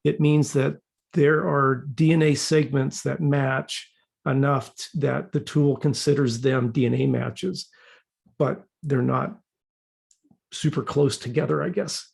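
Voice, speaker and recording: A man's voice: mid-range voice, steady tone, slow pace, gravelly, a little mellow, slightly rough. A man giving an informal, unscripted explanation to a group, hesitant and often repeating words as he searches for phrasing. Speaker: class participant presenting an example.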